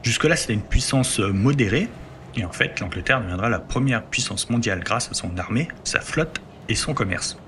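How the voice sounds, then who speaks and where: A man telling history in a conversational podcast, explaining at length and filling pauses as he builds his sentences. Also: medium pitch, lively intonation, fairly bright tone, a little hiss or hum. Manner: explanatory, leans on filler words while building sentences.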